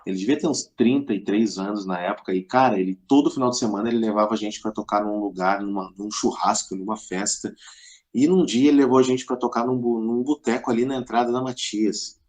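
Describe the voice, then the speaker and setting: A man's voice: on the low side, steady tone, brisk, a little mellow. Middle-aged southern Brazilian man telling stories. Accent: southern Brazilian, Rio Grande do Sul. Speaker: podcast guest, musician. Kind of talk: casual anecdotal storytelling.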